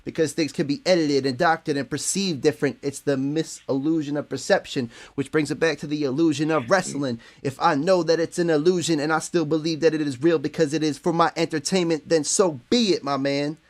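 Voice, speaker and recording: A man's voice: a little high, fairly even intonation, brisk, few pauses. A man in his late twenties hosting a casual podcast, musing in a laid-back, profane way. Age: late 20s. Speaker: podcast host. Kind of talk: casual chat.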